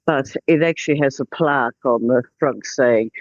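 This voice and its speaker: A man's voice: mid-range voice, moderate pace, no echo. A man chatting casually on an online call, giving an informal report as a participant. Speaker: meeting participant reporting from a trip. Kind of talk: casual chat. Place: online video meeting. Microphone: video call. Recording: slightly dull online call audio.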